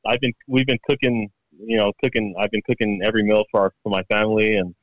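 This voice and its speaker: A man with Tongan as his first language, a pro golfer guest in a relaxed interview chat, often repeating and restarting his words. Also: fairly low pitch, fast, rough recording.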